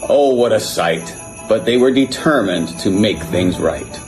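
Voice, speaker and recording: Man reciting rhyming verse over loud music. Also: on the low side, expressive, a little slow, low-quality recording. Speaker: company executive. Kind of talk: reciting a rhyming Christmas poem. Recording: played video clip with loud music. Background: loud guitar music.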